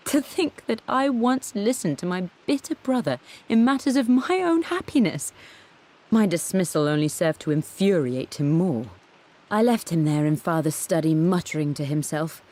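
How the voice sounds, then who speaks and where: An actress playing a tender British mother and painter in a video game, with a soft, breathy voice. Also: sits low. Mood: reflective. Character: tender, happy mother, an upper-class painter recalling her life. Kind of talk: narrated journal memory in a video game.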